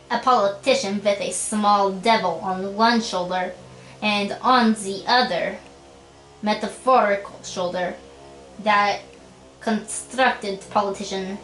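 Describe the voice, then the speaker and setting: A man's voice: sits high, pitched above the performer's own voice. A man doing a crude mock-Russian braggart. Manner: exaggerated mock Russian accent, broken grammar, slow deadpan delivery. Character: boastful crude Russian man calling himself Sergei. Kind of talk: comic character monologue. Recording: roomy echo with faint music.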